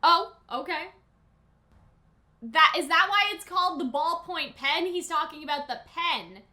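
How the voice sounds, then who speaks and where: A woman hosting a casual reaction and review commentary in an expressive, conversational, slangy way. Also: high voice, measured pace. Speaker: reaction video host and reviewer.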